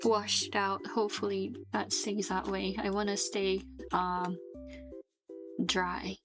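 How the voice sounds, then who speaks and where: A woman's voice: mid-range voice, level tone, slow. Sick woman rambling on a stream. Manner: rambling, many restarts, frequent laughs. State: sick with a fever, dry throat. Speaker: vtuber streamer. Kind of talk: casual live stream chat. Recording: background music under the voice.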